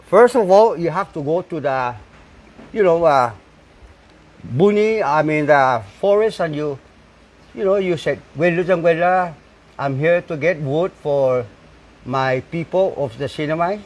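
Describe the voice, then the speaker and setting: A man's voice: a little high, unhurried, long pauses. A man, a farmer and bull cart maker, talking in a casual interview with many fillers and restarts. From Saipan, Northern Mariana Islands. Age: 60s. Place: outdoors at his home.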